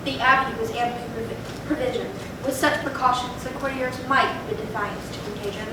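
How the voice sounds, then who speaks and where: A woman's voice: fairly even intonation, fairly quick. A woman giving a dramatic stage reading of a gothic story. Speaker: stage reader. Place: indoor theatre, far from the mic. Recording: echoey, noisy theatre with rumble, distant.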